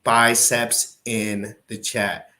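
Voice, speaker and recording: A man giving brash, profane, excitable commentary while reacting to an old crypto video. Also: on the low side, extremely slow.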